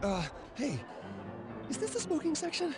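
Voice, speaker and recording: An actor playing a nervous, evasive man who stammers and hesitates in an animated game cutscene. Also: very high voice, normal speed, heavily acted. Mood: frantic. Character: nervous, evasive man cornered by his girlfriend. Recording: loud music under the dialogue.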